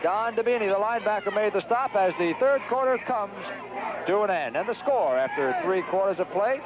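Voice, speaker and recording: An American man doing live radio play-by-play of high school football, describing the action vividly in short, clipped phrases. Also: expressive, fairly quick. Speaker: play-by-play announcer. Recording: dull, noisy 1970s radio broadcast audio.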